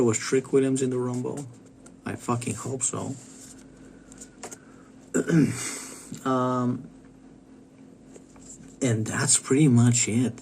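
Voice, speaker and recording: A man with a raspy Bulgarian accent and a scratchy throat, ranting bluntly and provocatively in a casual monologue, coughing and repeating himself. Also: fairly low pitch, darkish tone. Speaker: wrestling commentator vlogger. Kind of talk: casual opinionated rant.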